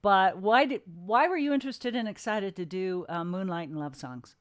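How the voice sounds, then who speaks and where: A woman hosting a casual interview, putting questions and comments in an informal, halting way. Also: mid-range voice.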